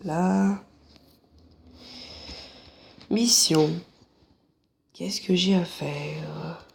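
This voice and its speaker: A man's voice: fairly high pitch, fairly even intonation, extremely slow, vocal fry. A man with a head cold and a stuffy, nasal voice, chatting casually to viewers from his couch at home while commentating a game. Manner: chatty, jokey asides to viewers. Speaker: gameplay commentator. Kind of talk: casual let's-play commentary.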